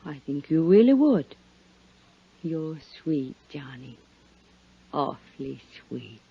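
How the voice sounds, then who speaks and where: A woman's voice: low voice, very animated, slow pace, gravelly, slight background noise, full character voice. A woman playing a cool, coy, hard-headed businesswoman in a 1950s radio drama, speaking with poised, teasing intimacy. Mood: coy, tender. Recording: muffled vintage 1950s radio recording.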